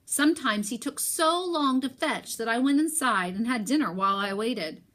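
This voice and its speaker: A woman teacher reading a picture book aloud and talking to young pupils, expressive, with emphatic pauses. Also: on the high side.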